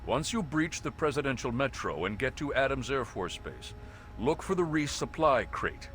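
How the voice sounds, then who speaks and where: A stern, composed military officer in a video game. His medium-pitched voice is measured and authoritative, delivering a formal briefing over faint background noise. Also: very animated, fairly bright tone. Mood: businesslike, urgent. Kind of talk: scripted mission briefing.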